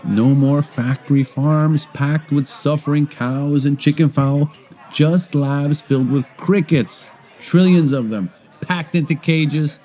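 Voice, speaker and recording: A man's voice: a little high, steady tone, slow pace, warm tone. A man acting in an audio drama as a brash, argumentative friend who debates in a glib, provocative, conversational way. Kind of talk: naturalistic audio drama dialogue.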